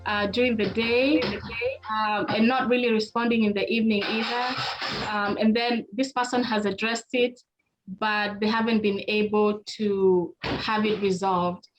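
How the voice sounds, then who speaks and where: A woman's voice: mid-range voice, fairly even intonation, a little slow, dull audio, faint music behind. A middle-aged woman hosting a webinar in an informal, conversational way, speaking straight to her listeners. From Kenya. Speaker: webinar host and presenter, marriage therapist. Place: online video call.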